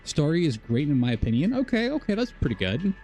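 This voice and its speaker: A man's voice: fairly high pitch, sing-song, fast talker, mellow, faint music behind. A man live streaming a video game, chatting casually with viewers and thinking aloud in a rambling, repetitive way.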